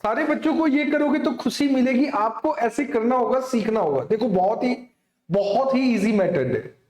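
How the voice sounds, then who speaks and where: A man's voice: very high-pitched, lively intonation, moderate pace, a little mellow, echoey room. A man teaching an exam coaching maths lesson, often checking in with students through short tag questions. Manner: repetitive tag questions, addressing students directly. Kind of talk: exam coaching lesson, explaining worked problems.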